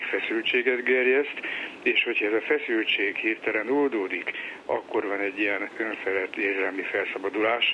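Measured, low-voiced male social psychologist. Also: lo-fi sound, slight background noise. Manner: measured and reflective, tells a joke. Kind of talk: explanatory interview talk.

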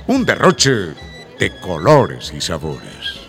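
A man narrating a radio drama from a script, with expressive, descriptive, theatrical phrasing. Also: deep voice, slow pace, vocal fry, over loud music, heavily acted.

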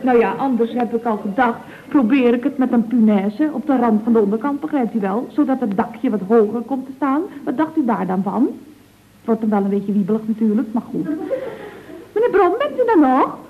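A naive, chatty female secretary in a radio comedy. Her voice sits high and moves up and down in a very lively way, rambling and girlishly guileless. The old recording is thin and tinny, with some noise. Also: moderate pace. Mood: puzzled, breezy. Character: chatty, naive, cheerfully helpful female office secretary on the telephone. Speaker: actress in a radio comedy serial. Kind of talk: 1970s Dutch radio comedy acting.

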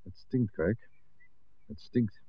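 Wary menacing crook in an old dutch radio play, blunt talk, tinny phone-like sound. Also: male voice, lowish voice, slow, husky. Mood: wary, brooding. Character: suspicious, threatening male crook, leader of his mates. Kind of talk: Dutch radio drama acting. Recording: narrow-band old 1960s radio recording.

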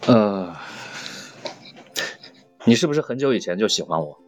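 A man's voice: on the low side, lively intonation, moderate pace, soft background music. A man chatting and bantering casually as a guest on a show. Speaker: voice actor guest.

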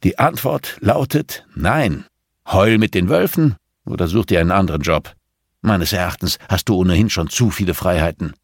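A man's voice: low-pitched, expressive, moderate pace, no room sound, clean studio sound. A man as an exasperated, firm police chief. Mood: weary, final. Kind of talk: audiobook character dialogue.

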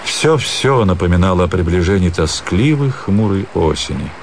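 A man narrating a literary story for a radio drama in a measured, expressive storytelling manner. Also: low-pitched, slight background noise. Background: music.

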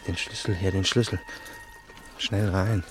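An actor playing a nervous, defensive burglar's accomplice in a 1960s radio play, anxious and hushed. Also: male voice, deep voice, steady tone, measured pace, over music. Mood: defiant, urgent. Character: nervous, jumpy young male accomplice, reluctant but defiant killer. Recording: noisy 1960s radio drama recording.

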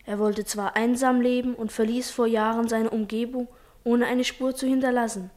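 A woman's voice: on the high side, steady tone, normal speed, a little mellow, lightly acted. A woman playing a thoughtful, confident schoolboy poet in a children's radio play, speaking earnestly and reflectively. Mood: confident, persuasive.

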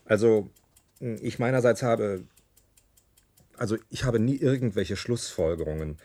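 A man's voice: on the low side, measured pace, mellow, no echo. A man playing an earnest, doggedly scientific pharmacologist in a German radio play, explaining carefully. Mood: flustered, insistent.

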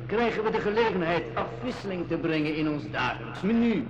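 An actor playing a calm, cruel island leader in a school radio drama, speaking formally and with cold courtesy. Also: male voice, sits high, noisy background. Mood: calm, courteous menace. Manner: formal, unhurried, coldly courteous. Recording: dull, muffled radio recording.

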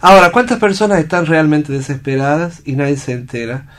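An Argentine man reflecting aloud as a radio panelist, halting as he thinks and often checking for agreement. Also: a little high. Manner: thoughtful, halting, seeks agreement with tag questions. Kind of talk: reflective unscripted discussion.

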